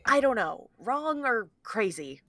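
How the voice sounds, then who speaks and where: A woman's voice: on the high side, sing-song, slow pace, bright, forceful tone, exaggerated voice. A woman playing an anxious, questioning spaceship crew member in a table read. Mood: doubtful.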